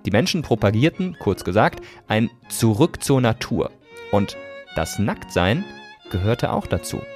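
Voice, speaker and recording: A man's voice: on the low side, big pitch swings, measured pace, faint music behind. A man hosting a podcast, presenting scripted narration with some conversational phrasing. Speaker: podcast host.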